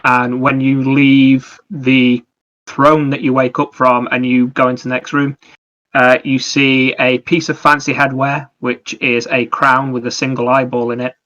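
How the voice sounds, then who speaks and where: Male podcast co-host, forceful bright tone, fairly flat intonation, wordy explainer in casual review mode. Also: mid-range voice, unhurried, lo-fi sound. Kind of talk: casual video game review chat.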